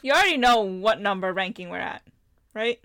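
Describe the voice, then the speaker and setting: A woman's voice: mid-range voice, lively intonation, brisk, fairly bright tone, no echo. A woman co-hosting a podcast, quizzing her partner in a teasing, dry way. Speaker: podcast co-host. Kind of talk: casual chat.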